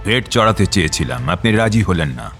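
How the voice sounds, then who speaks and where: An actor playing a short-tempered, threatening timber contractor in an audio drama, with a snarling, contemptuous manner. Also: male voice, deep voice, big pitch swings, a little fast, gravelly, fairly bright tone, faint music behind, heavily acted. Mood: quiet menace.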